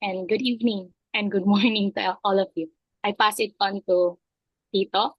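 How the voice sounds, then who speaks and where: A woman's voice: medium pitch, steady tone, moderate pace, no room sound, muffled recording. A woman from the Philippines speaks politely and a little hesitantly on a video call as she prepares to lead the session. The sound is dry and close. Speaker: session leader. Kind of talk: online meeting.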